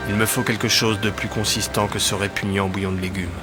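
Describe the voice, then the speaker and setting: A man's voice: fairly low pitch, level tone, brisk, over music, lightly acted. An actor playing a bored, disgruntled old-time sailor reading his journal in a game voice-over.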